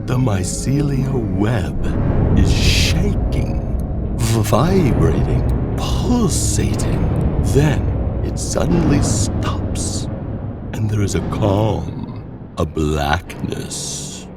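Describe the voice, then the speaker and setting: A man narrating a scripted horror story theatrically, pausing between short phrases. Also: on the low side, very animated. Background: loud music.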